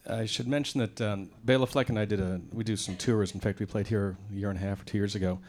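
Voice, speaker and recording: A middle-aged man, a banjo player, chatting with wry, relaxed humor between tunes on a concert stage. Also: fairly low pitch, fast, slight background noise. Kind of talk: onstage banter between tunes. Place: concert stage before a live audience. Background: banjo music.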